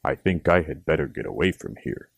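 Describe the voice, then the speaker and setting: Man putting on a very deep gravelly gentleman frog. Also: expressive, a little fast, no echo, heavily acted. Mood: wary. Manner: formal, genteel phrasing. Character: small gentleman frog angler, fussy and proper.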